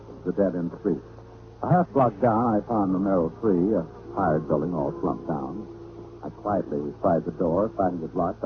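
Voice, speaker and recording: An actor playing a charming, wisecracking hardboiled detective with an American accent, acting and narrating in an old radio drama. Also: male voice, low-pitched, narrow, tinny audio. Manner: glib, breezy wisecracks. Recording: thin, noisy vintage radio recording.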